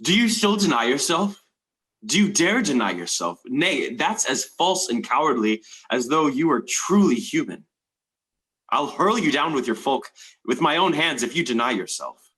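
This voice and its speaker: A man's voice: fairly high pitch, sing-song, normal speed, a bit bright, poor audio. A man acting a menacing, gloating mad lord in a stage play reading, with theatrical, archaic, taunting speech and music behind him.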